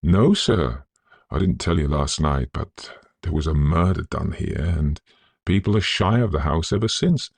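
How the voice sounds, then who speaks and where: Loyal manservant voiced by a male narrator, Victorian ghost story, grave, deferential, husky and close-recorded. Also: rumbling low, expressive, darkish tone, no echo, full character voice. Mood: grave recounting. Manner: earnest, respectful, measured period phrasing. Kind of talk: dramatised audiobook ghost story.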